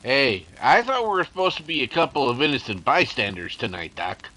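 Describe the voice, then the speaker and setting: An actor playing a slangy, jumpy detective's sidekick in a 1930s-style radio drama, given to fumbling for words. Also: male voice, mid-range voice, big pitch swings, brisk, sharp tone, low-quality recording. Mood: wary, joking.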